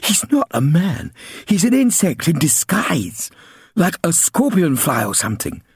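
A man's voice: a little high, wildly swooping pitch, a little slow, slightly rough, no echo, studio quality, pitched above the performer's own voice, heavily acted. A man voicing a large, cruel bully boy who sneers, in a storybook reading. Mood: cold.

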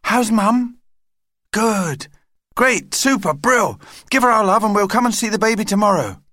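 A man voicing a sensible, gently insistent young girl in a children's storybook, earnest and polite. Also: high-pitched, sing-song, normal speed, no echo, clean studio sound, pitched above the performer's own voice. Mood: excited.

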